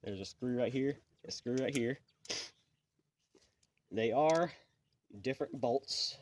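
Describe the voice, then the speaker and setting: Sniffly DIY host, folksy and plainspoken, recorded on a camera mic with audible noise behind. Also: male voice, medium pitch, slow pace. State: sniffly from allergies. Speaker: channel host. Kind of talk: casual how-to repair walkthrough.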